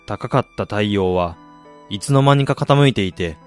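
A man's voice: on the low side, lively intonation, clean tone, natural voice. Actor playing a brooding man telling his story over music. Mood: sorrowful, resolute. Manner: reflective, measured storytelling. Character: weary man who lost family, confessional, brooding. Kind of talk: Japanese radio drama acting.

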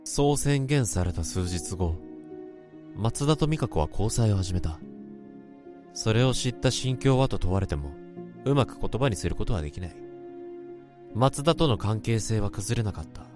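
A man's voice: on the low side, animated, normal speed, long pauses, lightly acted. A man playing a brooding office worker who narrates his story in a somber, introspective radio drama. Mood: melancholy. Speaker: narrator and lead. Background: music.

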